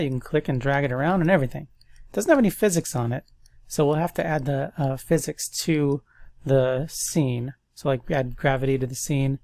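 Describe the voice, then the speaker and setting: A man's voice: on the high side, creaky, no echo. A man with a stuffy nose, casually thinking aloud as he walks through a coding tutorial. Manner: thinks aloud, relaxed and chatty. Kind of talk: casual screen-recorded coding walkthrough.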